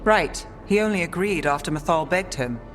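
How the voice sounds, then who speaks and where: A woman's voice: lowish voice, lively intonation, moderate pace, soft background music, lightly acted. A woman voicing an analytical, frank companion in a fantasy video game cutscene.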